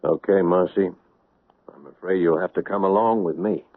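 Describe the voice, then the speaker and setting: A stern police lieutenant with a very low, husky voice, asking pointed questions. It comes through tinny, like an old radio broadcast. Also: male voice, moderate pace. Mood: probing. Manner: stern, probing. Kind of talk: 1970s radio drama acting.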